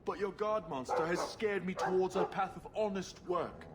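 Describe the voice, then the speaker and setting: An actor playing a cowardly small-time crook in a video game, wheedling, stammering and pleading. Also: male voice, high voice, moderate pace, heavy background noise, lightly acted. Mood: nervous pleading. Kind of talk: video game character acting.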